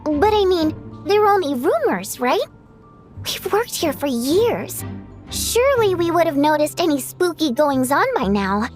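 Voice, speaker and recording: A woman's voice: sits high, big pitch swings, unhurried. A woman playing an outspoken, earnest young girl who works at a theatre, in a video game. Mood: uneasy. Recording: background music.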